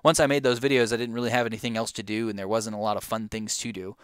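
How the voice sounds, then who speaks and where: A man's voice: fairly low pitch, flat delivery, quick pace, clean tone, dry recording, studio quality. A young man answering viewer questions in a casual, rambling way, with lots of fillers and restarts. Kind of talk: casual Q&A chat.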